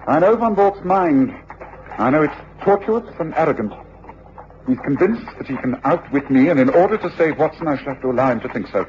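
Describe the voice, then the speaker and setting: An actor playing a brilliant, commanding English detective in a radio drama, speaking precisely and deliberately with an English accent. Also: male voice, a little high, expressive, natural voice. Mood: urgent, reasoning. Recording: muffled, noisy old radio drama recording.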